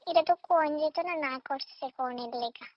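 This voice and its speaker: A woman's voice: high-pitched, a little fast, a little hiss or hum. A woman, calling on a muffled phone line and chatting in a pleading, insistent way. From Tripura, India. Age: 20s. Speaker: caller. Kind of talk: casual phone chat.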